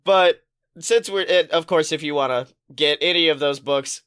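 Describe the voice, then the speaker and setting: A woman's voice: deep voice, moderate pace, a bit bright, clean tone. A woman co-hosting a podcast in casual, slangy chat, reacting readily to a friend. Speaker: podcast co-host.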